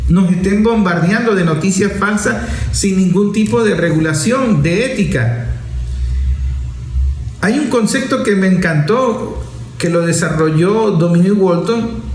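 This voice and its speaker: A Venezuelan man with a high-pitched voice presents opinion commentary in a roomy, echoing space. He speaks emphatically, building points through lists and rhetorical questions. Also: darkish tone. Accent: Venezuelan Spanish. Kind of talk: opinion commentary on media and politics.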